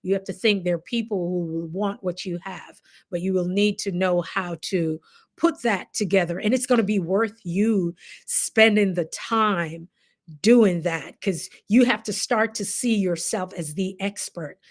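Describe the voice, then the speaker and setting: A woman's voice: fairly low pitch, measured pace. A woman with a Jamaican accent hosting a casual livestream, talking directly to viewers in a conversational, encouraging way. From Caribbean, Jamaica. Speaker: livestream host, coach.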